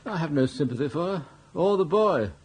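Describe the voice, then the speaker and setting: A vague elderly aristocrat with an upper-class English accent and a high voice for a man. He is fussy and easily indignant, babbling on in the manner of radio comedy drama. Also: expressive, a little fast, darkish tone, breathy edge. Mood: aggrieved, flustered. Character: dithery, indignant elderly English earl devoted to his pig.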